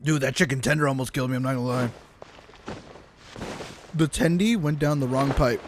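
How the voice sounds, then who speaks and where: A man's voice: medium pitch, a little fast, background noise. A man livestreaming a game, chatting casually in a jokey, exclamatory way with plenty of swearing. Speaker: game live streamer. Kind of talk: casual livestream chat while playing a game.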